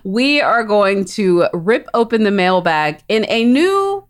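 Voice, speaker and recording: A woman hosting a movie podcast, playful and lively in casual chat. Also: medium pitch, takes it slowly. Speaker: podcast host.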